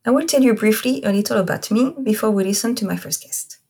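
A woman's voice: medium pitch, fast talker, warm tone, husky. A woman in her thirties from Corsica with a French accent, hosting a podcast, reading her intro carefully and then chatting with a guest. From Corsica, France. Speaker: podcast host. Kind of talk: scripted intro then casual interview.

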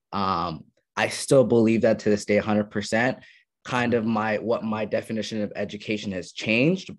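A young man from Ethiopia, a podcast guest casually telling his story from his college dorm with many fillers. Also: fairly low pitch. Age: young adult. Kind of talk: casual interview chat.

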